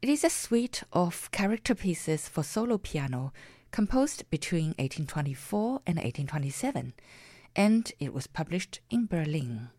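A woman's voice: deep voice, sing-song, moderate pace, warm tone, dry recording. A woman presenting a classical music radio show, interviewing a guest and introducing the next pieces. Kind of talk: radio hosting and announcing.

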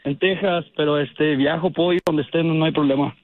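A man's voice: a little high, steady tone, fairly quick, very noisy. A man in his twenties calling in from work, answering politely and hesitantly with many fillers. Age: 20s. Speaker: caller. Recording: muffled phone-in audio.

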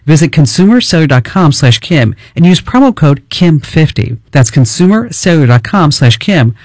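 A man hosting a call-in radio talk show, chatty and upbeat, asking quick follow-up questions. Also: on the high side, sing-song, no room sound, clean studio sound. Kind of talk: call-in tech radio chat and ad read.

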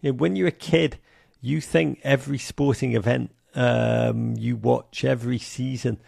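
A man's voice: medium pitch, fairly even intonation, a little slow, creaky, darkish tone, dry recording, clean studio sound. A man from England with a Liverpool accent, a soccer broadcaster and author, talking animatedly in an interview with many fillers. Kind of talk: conversational interview.

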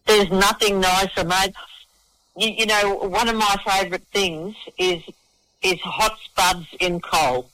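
A man's voice: sits high, steady tone, takes it slowly, a crowd in the background, low-quality recording. A middle-aged or older man with an Australian accent, chatting casually and effusively as an interview guest, matey and often repeating words as he starts phrases. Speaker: festival organiser and singer-songwriter, interview guest. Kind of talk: casual radio interview chat.

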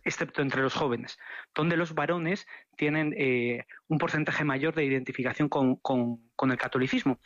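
Male academic guest, mid-pitched, measured and searching, muffled dry line. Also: a bit bright, no echo. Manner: measured, thoughtful, repeats words while searching. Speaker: sociologist guest. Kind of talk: radio interview answers.

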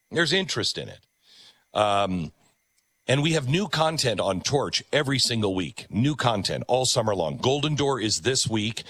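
A man's voice: medium pitch, a bit bright, dry recording. A man with an American accent hosting a talk show, giving conversational commentary in an emphatic way, repeating phrases for effect. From United States.

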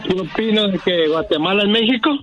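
A man's voice: high-pitched, fairly quick, fairly bright tone, highs cut off. A man who is a regular on a radio show, calling in and arguing bluntly and stubbornly. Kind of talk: call-in banter on radio.

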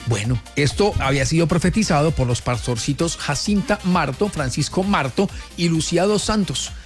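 A man with a high voice and a Colombian accent presents a lively radio show. He talks straight to the listeners in a folksy, exclamatory way, with music playing behind him. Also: moderate pace. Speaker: radio morning show host. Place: radio studio.

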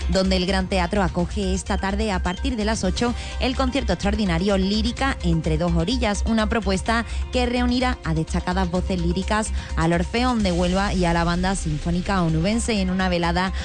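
A woman's voice: mid-range voice, normal speed, hardly any pauses. A woman with a Spanish accent from Spain presenting a scripted local radio news bulletin. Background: music bed.

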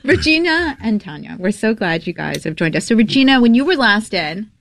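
A woman's voice: medium pitch, big pitch swings, brisk, gravelly, fairly bright tone. A woman hosting a studio interview show, speaking in a chatty, informal, conversational way. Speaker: talk show host.

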